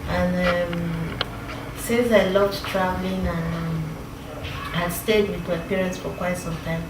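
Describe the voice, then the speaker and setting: A Nigerian woman in her fifties from Lagos, telling her life story in an interview in a reflective, unhurried way with many pauses and fillers. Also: fairly low pitch, steady tone. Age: 50s. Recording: roomy, distant mic with background noise.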